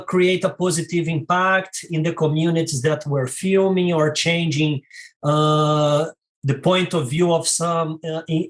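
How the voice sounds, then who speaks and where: A Brazilian-accented man in his forties speaks in a slightly high voice. He is a filmmaker guest on an interview, talking slowly and haltingly with fillers and restarts as he searches for words in his second language. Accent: Brazilian Portuguese. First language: Portuguese. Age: 40s. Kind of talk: casual interview talk.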